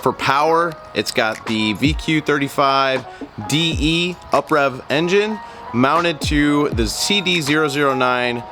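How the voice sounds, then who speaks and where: A man's voice: fairly high pitch, lively intonation, takes it slowly. A young man with a nasal voice from a cold, talking in an easygoing, earnest way through a casual car walkaround vlog with many ums and uhs. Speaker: car vlogger. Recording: music playing underneath.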